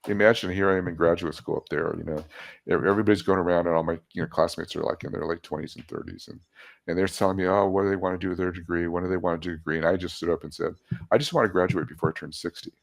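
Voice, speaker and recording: Low gravelly man in his seventies. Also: fairly even intonation, rapid-fire. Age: 70s. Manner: chatty, self-deprecating, laughs at his own jokes. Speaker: guest. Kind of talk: casual storytelling interview.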